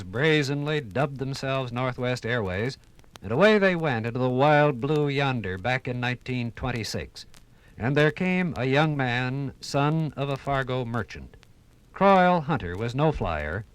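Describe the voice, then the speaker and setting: An American man narrating a 1950s radio documentary in a theatrical, oratorical manner with dramatic pauses. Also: mid-range voice, lively intonation, smooth, rough recording. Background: music.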